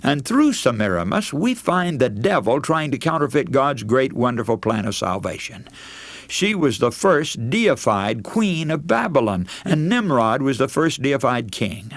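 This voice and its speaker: Radio preacher with animated, swooping delivery. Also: male voice, medium pitch, measured pace, no echo. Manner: emphatic, declamatory, addresses listeners as friends. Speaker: radio preacher, broadcast host. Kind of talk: scripted radio sermon.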